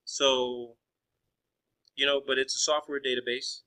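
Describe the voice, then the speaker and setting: A man's voice: mid-range voice, flat delivery, measured pace, sharp tone. A man arguing in a phone conversation as a caller, pressing his points insistently and often restarting his phrases. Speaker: caller questioning a space agency official.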